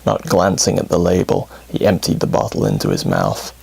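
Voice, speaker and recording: A man narrating a scripted horror bedtime story in a grave, dramatic, deliberate way, with music under his voice. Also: low voice, very animated, gravelly, darkish tone, slightly rough, poor audio.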